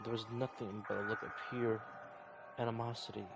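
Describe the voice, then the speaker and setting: Casting: male game player as narrator, creaky mid-range voice, dramatic read-aloud with casual asides, music underneath. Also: lowish voice, flat delivery, a little fast. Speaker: gaming video maker reading a visual novel aloud.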